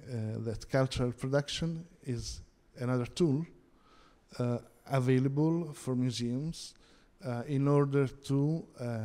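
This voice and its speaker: A man with an Italian accent giving a halting conference talk, full of pauses and restarts. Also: medium pitch, dark tone. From Italy. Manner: hesitant, many fillers and restarts. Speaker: conference panel speaker. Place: theatre hall. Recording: long hall reverb.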